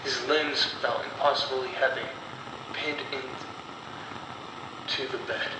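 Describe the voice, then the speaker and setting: A man reading a scripted horror story as storyteller, in a deliberate, dramatic way with pauses between short phrases. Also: mid-range voice, little pitch movement. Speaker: storyteller and narrator of horror stories. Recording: roomy echo, distant mic, clear background noise.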